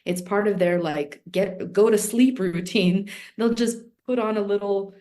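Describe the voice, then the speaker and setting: A woman's voice: medium pitch, unhurried, dark tone. A woman who teaches translation, chatting casually and searching for her words with frequent fillers. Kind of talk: casual group conversation.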